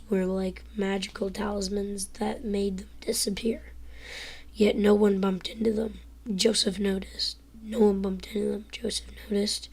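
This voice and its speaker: A teenage girl with a hoarse, worn-out voice reading a novel aloud live, whispering and stumbling over words as she goes. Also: medium pitch. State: hoarse, lost voice.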